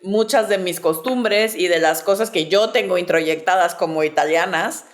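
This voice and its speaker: A woman's voice: lowish voice, normal speed. A woman in her early forties with a Mexico City accent, chatting casually and reflectively about herself. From southern Mexico and Mexico City. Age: early 40s. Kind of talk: casual personal chat.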